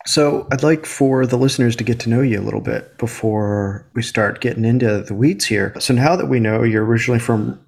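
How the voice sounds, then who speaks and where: A man hosting a relaxed podcast interview, with a noticeably gravelly, creaky edge to his voice. His pitch sits in an ordinary middle range, and his talk is loose and chatty. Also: a little fast, hardly any pauses. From Illinois, United States. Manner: laid-back, chatty, laughs easily. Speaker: podcast host. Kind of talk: casual interview chat.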